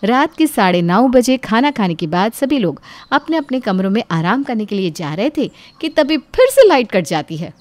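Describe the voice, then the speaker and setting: Expressive woman narrating a story. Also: on the high side, normal speed, no echo.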